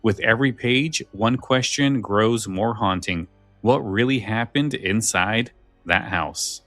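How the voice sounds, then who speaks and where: A man's voice: lowish voice, slow pace, a bit bright, no room sound, faint music behind. A man co-hosting a podcast, chatting casually in an emphatic, enthusiastic way. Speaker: podcast co-host. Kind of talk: casual chat about scary stories.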